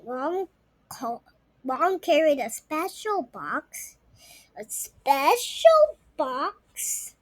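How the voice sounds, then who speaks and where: A young girl reading a storybook aloud, haltingly and often repeating words. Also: sits high, sing-song, dry recording. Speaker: story reader.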